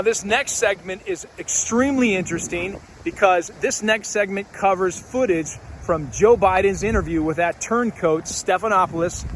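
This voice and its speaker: Male host, fiery political commentator, high-pitched and emphatic. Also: a bit bright, a little hiss or hum.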